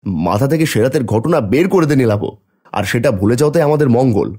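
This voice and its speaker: A man's voice: mid-range voice, animated, brisk, warm tone, breathy edge. A man acting as a young office worker and impatient friend who brushes off worries in a horror cartoon. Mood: exasperated.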